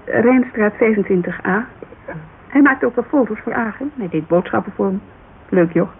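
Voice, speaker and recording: Casting: actress as talkative, loyal businesswoman, informal and gravelly, giving testimony in a radio play; narrow, hissy sound. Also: mid-range voice, normal speed, close to an everyday voice. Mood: amused, candid. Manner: informal, digressive, animated storytelling. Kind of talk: naturalistic radio drama dialogue. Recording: muffled, hissy old radio recording.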